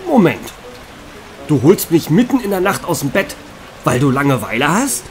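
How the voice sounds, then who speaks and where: An actor playing a grumpy, tired police detective in a German audio drama, with a sardonic, grumbling manner. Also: male voice, fairly high pitch, big pitch swings, normal speed. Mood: exasperated. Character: weary, grumpy male police detective, easily exasperated. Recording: rain and music behind.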